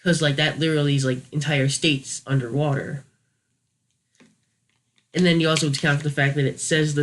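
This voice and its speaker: A young man with a slightly high voice talks through a casual review. He sounds unscripted and a little hesitant, working out his opinions aloud as he speaks. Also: a bit bright. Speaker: YouTube reviewer.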